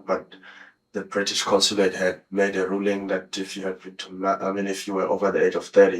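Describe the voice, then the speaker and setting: South African suspect, 30s, deep monotone, hesitant and halting delivery. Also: male voice, poor audio. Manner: hesitant, halting, with many restarts. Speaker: suspect in a police interrogation. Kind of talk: recounting events under questioning. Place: police interview room.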